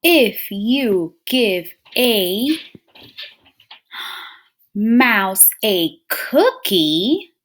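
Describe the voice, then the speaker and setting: Female children's read-aloud teacher: slow, very lively pitch, slightly high voice, engaging listeners with questions. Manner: expressive, addresses listeners as friends and scholars, checking in with questions. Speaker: teacher reading a picture book aloud.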